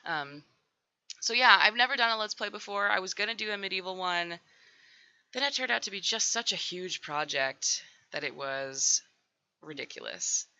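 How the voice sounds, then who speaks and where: Woman, raspy and getting over a cold, heavy fry, very bright tone, chatty and rambling, dull lo-fi mic. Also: medium pitch, lively intonation, moderate pace, no echo. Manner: rambling, full of "um" and "like". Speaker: Sims builder doing a voiceover. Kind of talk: casual voiceover chat.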